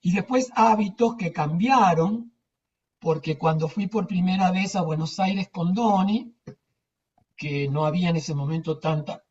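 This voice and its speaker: A woman's voice: fairly low pitch, a little slow, darkish tone. An older woman with an Argentine accent, telling anecdotes casually in a rambling, emphatic way with repetitions. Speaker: theatre teacher. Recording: distant, dull muffled microphone.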